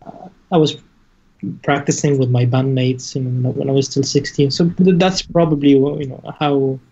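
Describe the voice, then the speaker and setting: A man from Lebanon speaking casually as an interview guest, halting and searching for his words, on a dull lo-fi connection. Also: medium pitch, steady tone, mellow, breathy edge. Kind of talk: casual conversation.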